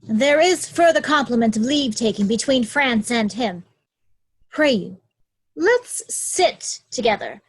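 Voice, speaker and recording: Woman playing a high-voiced scheming royal daughter. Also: animated, a little slow, breathy edge, no room sound, rough recording. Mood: wary, urgent. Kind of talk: Shakespearean drama reading.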